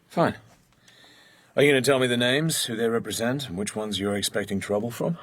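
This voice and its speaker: An actor playing a cool British secret agent working undercover in a radio drama, speaking in a dry, guarded, understated way. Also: male voice, medium pitch, a little fast, fairly bright tone, close to an everyday voice. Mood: cool, professional. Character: cool British secret agent posing as hired security man.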